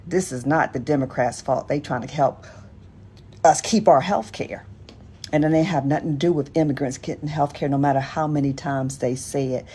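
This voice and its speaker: A woman's voice: low voice, normal speed, warm tone, breathy edge. An American woman on a casual political rant, blunt, sardonic and profane, in a noisy clip recording.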